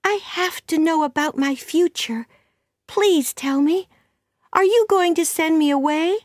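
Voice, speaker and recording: A woman voicing an excitable, fiery, emotional young orphan girl in an audiobook story, speaking emphatically. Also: extremely high pitch, measured pace, no echo, clean studio sound, pitched above the performer's own voice. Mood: anxious, excited.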